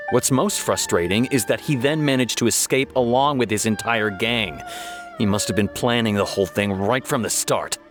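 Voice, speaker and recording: A man's voice: medium pitch, close to an everyday voice. A man voicing a stern, formal city officer explaining a case in a video game. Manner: formal, matter-of-fact. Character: stern adult male law officer. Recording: game audio with music behind.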